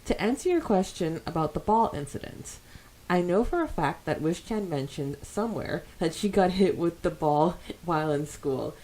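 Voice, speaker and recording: A woman's voice: on the low side, expressive, moderate pace, mellow, rough recording. A woman co-hosting a casual fan podcast, reading out listener comments and reacting aloud in an informal, conversational way. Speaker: podcast co-host.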